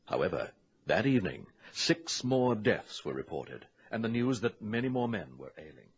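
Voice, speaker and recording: A man's voice: fairly low pitch, sing-song, moderate pace, husky, heavily acted. A man narrating a scripted old-time radio drama. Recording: muffled vintage radio recording.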